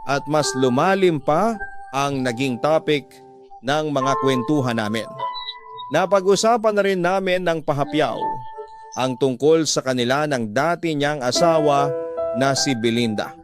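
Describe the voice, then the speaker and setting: A man's voice: fairly high pitch, animated, a little slow. A man narrating a scripted, first-person emotional radio drama story. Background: background music.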